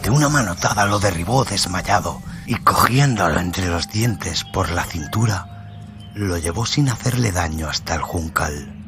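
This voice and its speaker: A man narrating a story in a dramatic radio-play style, solemn and suspenseful, with phrase endings that drop to a hush. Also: deep voice, lively intonation, unhurried. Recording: music bed under the voice. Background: music.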